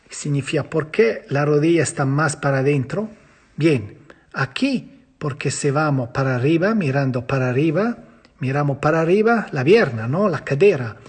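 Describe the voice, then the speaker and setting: Lecturer, male, non-native Spanish speaker, husky and a bit high, lively self-questioning delivery. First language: not Spanish. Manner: lively, asks and answers his own questions. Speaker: physiotherapy lecturer. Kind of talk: teaching a course, explaining slides.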